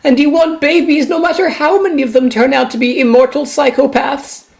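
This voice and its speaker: A man voicing a whiny, melodramatic, easily frightened character in an amateur sci-fi parody, in a high screechy falsetto with drawn-out words. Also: steady tone, gravelly. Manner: drawn-out, overwrought wailing.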